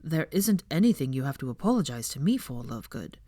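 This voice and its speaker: A woman voicing a guarded but sincere young man with a hushed, halting delivery in a story reading. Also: extremely low pitch, very animated, dry recording, clean studio sound, pitched below the performer's own voice, exaggerated voice. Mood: taken aback.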